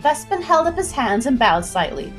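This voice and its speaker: Woman reading an adventure story, higher voice, suspenseful n expressive, soft music in the background. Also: moderate pace. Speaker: storyteller, livestream host. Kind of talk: dramatic scripted story narration. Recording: music behind the voice.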